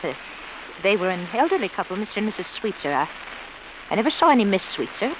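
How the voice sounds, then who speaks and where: An actress playing a prim, sceptical schoolteacher in an old radio drama, proper and reproving, faltering when unsettled. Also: female voice, medium pitch, big pitch swings, quick pace, lightly acted. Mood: uneasy. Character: prim, sceptical schoolteacher woman, stern but uneasy. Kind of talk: golden-age radio drama acting. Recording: muffled, hissy old radio recording.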